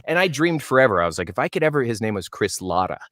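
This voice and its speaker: A middle-aged man in a casual interview, telling stories in an animated, enthusiastic way and often restarting his sentences. His voice sits at an ordinary pitch. It is captured through a video call microphone with a very dry sound. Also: fast talker, dry recording. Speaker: voice actor guest.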